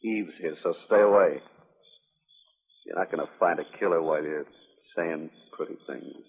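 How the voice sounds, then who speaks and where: A hard, threatening Old West rancher speaks in a very low, husky voice. He is deliberate and menacing, in the manner of a 1950s radio western. The sound is narrow and lo-fi, like an old broadcast. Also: exaggerated voice. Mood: stern warning. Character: hard, menacing rancher guarding his friend's claim. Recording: muffled, band-limited old radio broadcast.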